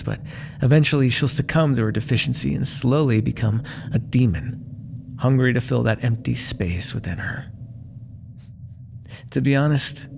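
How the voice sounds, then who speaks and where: A man's voice: mid-range voice, gravelly, background noise. A man voicing a vain, menacing demon crime lord in an ASMR audio roleplay, silky, theatrical and condescending, with cool threats under mock affection.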